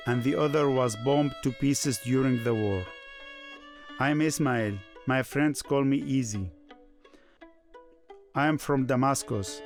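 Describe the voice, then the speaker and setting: A man voices a Syrian man telling his life story in scripted documentary style. He speaks slowly and reflectively, at a medium pitch with some fry in the voice. Soft music plays behind him. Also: close to an everyday voice. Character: Syrian man recalling his student years in East Germany. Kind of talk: scripted first-person documentary narration.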